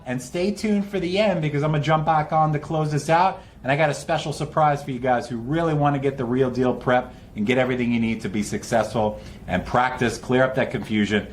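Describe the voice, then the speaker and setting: A man hosting an online test-prep session with an upbeat, informal and encouraging introduction. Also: a little high.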